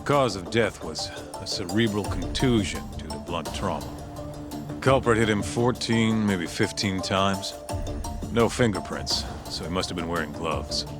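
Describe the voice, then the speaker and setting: Deep-voiced, blunt street-tough detective. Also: male voice, animated, measured pace, music in the background. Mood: grim. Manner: blunt, colloquial, slangy. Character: gruff, street-tough private investigator, wry sidekick. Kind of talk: video game cutscene acting.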